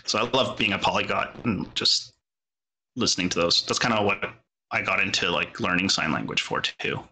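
A man from Canada, a native Portuguese speaker, chatting casually as a podcast guest in a rambling way, full of filler words and quick laughs. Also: low voice, expressive, vocal fry, bright, forceful tone, a little hiss or hum, poor audio. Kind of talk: casual interview chat.

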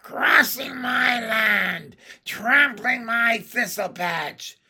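A shrill old hag of a witch, played by a male narrator for a storybook. She is vengeful and taunting, dragging out her words in a high, sharp voice. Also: animated, creaky, husky, exaggerated voice. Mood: scornful. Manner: slow, drawn-out, taunting.

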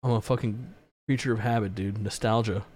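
A man's voice: fairly low pitch, steady tone, a little fast, darkish tone. A man in his twenties co-hosting a gaming podcast, chatting casually with plenty of swearing and easy laughter. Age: 20s. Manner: laid-back, swears often, laughs easily. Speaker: podcast co-host. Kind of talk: casual chat.

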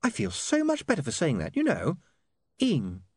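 Man voicing a high-pitched, prim young woman. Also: wildly swooping pitch, moderate pace, slightly rough, no echo, clean studio sound, exaggerated voice. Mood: dreamy. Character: young woman reporter, prim, dreamy, suddenly ferocious.

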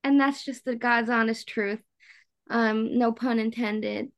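Chatty woman with a higher-pitched voice. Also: steady tone, unhurried. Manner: chatty, slangy, informal. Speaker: podcast co-host. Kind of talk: casual book chat.

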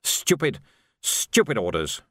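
A man's voice: medium pitch, sing-song, moderate pace, fairly bright tone, no room sound, clean studio sound. A man voicing a weary, anxious male army major who sounds tired and resigned.

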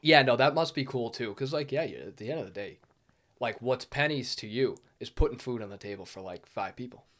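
A man's voice: mid-range voice, lively intonation, a little fast, a bit bright. A man in a casual podcast conversation, telling anecdotes and acting out phone exchanges as he goes.

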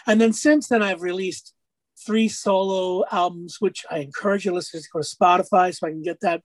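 A man with a raspy speaking voice, a musician guest on an interview, talking casually and rambling through stories with lots of fillers. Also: high voice, fairly even intonation, brisk, dry recording. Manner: rambling storyteller, many fillers.

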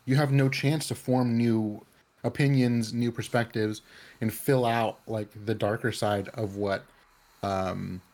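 A man's voice: medium pitch, fairly even intonation, takes it slowly, a little mellow. A man from the Bay Area talking casually in an interview, rambling with lots of fillers and restarts. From California Bay Area. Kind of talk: casual conversation.

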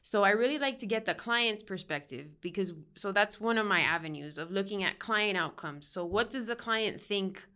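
A woman's voice: medium pitch, lively intonation, moderate pace, few pauses, bright, forceful tone, clear, resonant tone. A woman from Miami who works as a counseling professor, explaining her research in a casual way with frequent "um" and "like". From Miami, United States. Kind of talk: conversational podcast interview.

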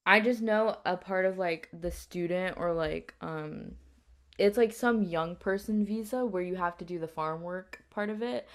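A young woman talks slowly and easily, like a friend catching up. Her speech is loose and casual, full of fillers, with the odd swear word. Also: lowish voice. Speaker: podcast co-host. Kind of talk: casual chat.

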